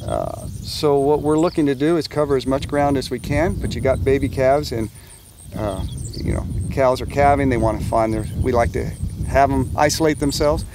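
A man's voice: medium pitch, normal speed. An older man, a cattle farmer, describing his routine in a plain-spoken, practical way out in a pasture. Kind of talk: casual explanation. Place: open pasture outdoors. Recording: outdoor field with faint noise.

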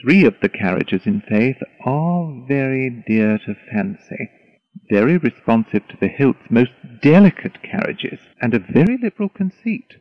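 An actor playing a foppish, flattering courtier in a Shakespearean audio drama, with a mannered, flowery, deferential delivery. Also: male voice, mid-range voice, normal speed, dry recording, dull audio. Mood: affected, eager. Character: foppish, flattering young male courtier, wordy and eager.

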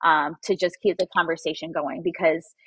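A Spanish-speaking woman in her thirties from Puerto Rico, giving advice in a lively video-call interview through earbuds. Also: sits low, moderate pace, breathy edge, no room sound, poor audio. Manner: animated, acts out quoted voices. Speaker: guest photographer and coach. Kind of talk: casual livestream interview. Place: room at home. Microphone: wireless earbuds, video call.

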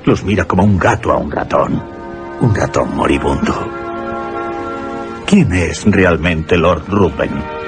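An actor playing an earnest, troubled young gentleman in a radio horror drama, emphatic and formal. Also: deep voice, slow pace, creaky, long pauses, loud music behind the voice, full character voice. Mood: troubled, indignant.

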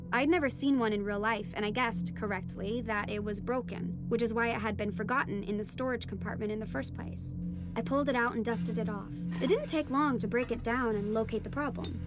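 A teenage girl, played by a woman, tells her story as narrator of an audio fiction. Her voice sits fairly high and carries a scripted storytelling style. Music plays clearly behind her. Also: natural voice. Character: teenage daughter recounting her ocean adventure.

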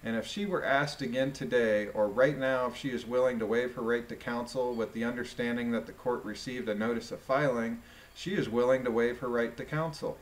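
A man reading a document aloud and adding informal commentary in a deliberate, musing way. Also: medium pitch, fairly even intonation, poor audio.

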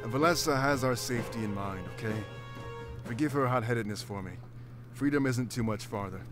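A man voicing a calm, protective, burly beast warrior in a video game, reassuring and level-headed. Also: medium pitch, fairly quick, music in the background, close to an everyday voice.

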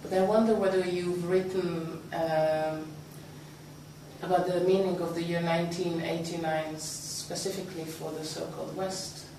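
A woman's voice: fairly low pitch, level tone, normal speed. Woman interviewer in an echoey room. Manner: hesitant, with frequent ums. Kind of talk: conversational interview questions. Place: meeting room, indoors. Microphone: far camera mic. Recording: echoey room, distant mic, background noise.

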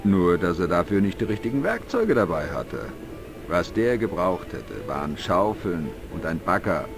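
A man's voice: deep voice, big pitch swings, normal speed, vocal fry, full character voice. An actor playing a simple, gullible, talkative village man in an adventure game, with naive, colloquial speech. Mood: chatty, baffled. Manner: naive, colloquial, exaggerated swings of emphasis. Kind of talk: dubbed adventure game dialogue. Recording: music behind the voice. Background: game music.

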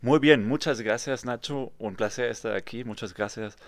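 A man in his thirties from Germany, speaking with a German accent and non-native, halting phrasing as a podcast guest. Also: medium pitch, no echo. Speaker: podcast guest, real estate developer. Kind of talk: conversational storytelling interview. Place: small padded podcast studio, indoors. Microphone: studio microphone with pop filter, headphones.

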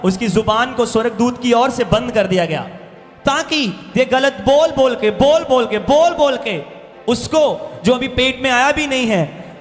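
A man preaching an impassioned, emphatic sermon into a handheld microphone, repeating phrases for weight. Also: very high-pitched. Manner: emphatic, repeats phrases, slows for quoted verses. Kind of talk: impassioned sermon mixing Hindi and English. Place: church hall stage. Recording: reverberant hall PA with music behind. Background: music.

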